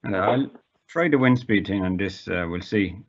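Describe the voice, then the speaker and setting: A man's voice: lowish voice, moderate pace. Irish guy doing a casual tech demo, bit hesitant and informal, slightly dull lo-fi sound. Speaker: guest demonstrating accessible technology. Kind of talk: casual live demonstration.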